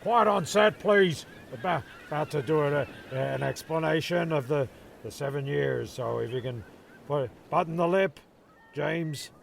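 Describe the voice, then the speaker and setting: A man's voice: a little high, measured pace, gravelly, a little hiss or hum. A man playing a bossy, mocking castaway in a comedy radio play, with a sardonic delivery.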